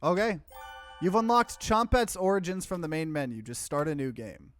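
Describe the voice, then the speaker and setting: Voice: mid-range voice, normal speed. A let's play host giving casual, rambling, conversational commentary on a game. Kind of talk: casual gaming livestream commentary. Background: game music.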